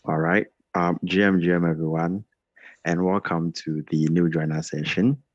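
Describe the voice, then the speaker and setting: A man's voice: sits low, fairly even intonation, normal speed, dry recording. A man hosting an onboarding session on a video call, earnest and repetitive, often restarting his phrases. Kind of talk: welcoming group presentation on video call. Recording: dull, dry video call audio.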